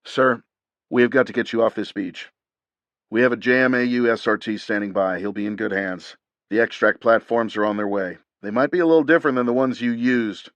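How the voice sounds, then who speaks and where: Male narrator doing a raised, fast, clipped navy officer, dry close mic. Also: fairly low pitch, no echo, dull audio, pitched above the performer's own voice, close to an everyday voice. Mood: urgent. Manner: loud, brisk and clipped. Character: commanding young male navy commando officer.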